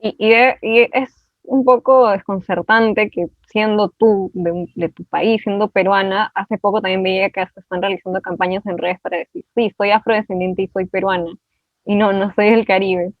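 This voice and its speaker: Woman interviewer, halting restarts, tinny phone-like sound, dry. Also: medium pitch, fairly even intonation, no room sound. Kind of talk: conversational interview.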